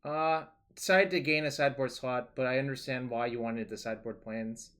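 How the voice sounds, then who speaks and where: A young man casually talking through a card game on his stream, thinking aloud in a relaxed, rambling way. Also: medium pitch, a little fast, clean tone.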